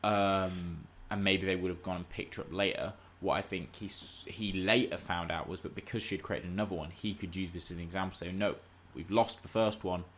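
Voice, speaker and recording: A man's voice: deep voice, fairly even intonation, brisk, few pauses, fairly bright tone, slight background noise. A man co-hosting a podcast, discussing film and TV casually in an emphatic, analytical, argumentative way. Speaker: podcast co-host.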